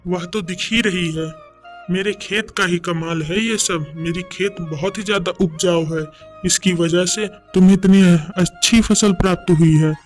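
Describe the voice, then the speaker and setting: A man plays a scheming rich landowner from a village cartoon. The voice is high for a man and speaks with bossy self-satisfaction, with music underneath. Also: fairly even intonation, normal speed, close to an everyday voice. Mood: smug. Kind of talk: animated moral story dialogue in Hindi.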